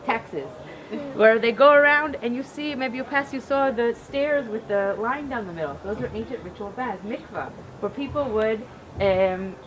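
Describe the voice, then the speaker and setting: A woman's voice: a little high, noisy background. American woman rabbi guiding a tour. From Cleveland, Ohio. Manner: earnest, explanatory, with fillers and pauses. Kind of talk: guided tour talk. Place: outdoors at an archaeological site.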